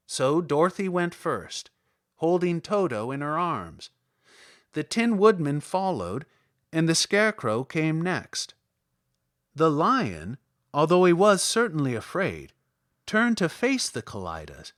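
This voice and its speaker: A man narrates a storybook as an audiobook. His voice sits a little high and moves in animated swings. The sound is dry and close. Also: no echo.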